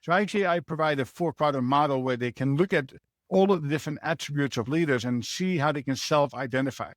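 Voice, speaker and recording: A man's voice: on the high side, fast, dry recording, clean studio sound. A man with a Dutch accent answering interview questions as a leadership mentor, explaining earnestly and often adding a rhetorical "right?". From Netherlands.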